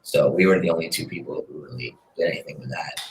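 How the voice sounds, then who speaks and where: A man with a very low voice talks in a relaxed, rambling way, full of fillers. He is a singer being interviewed. The sound bounces around a roomy space. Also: quick pace, background noise. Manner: laid-back, rambling with fillers. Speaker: singer-songwriter guest. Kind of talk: casual interview chat. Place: room in a city apartment, street noise outside. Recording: roomy echo.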